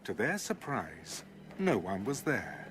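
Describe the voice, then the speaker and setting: A man's voice: lowish voice, sing-song, takes it slowly, a bit bright, breathy edge, full character voice. A man giving scripted narration for a dramatized audio Bible.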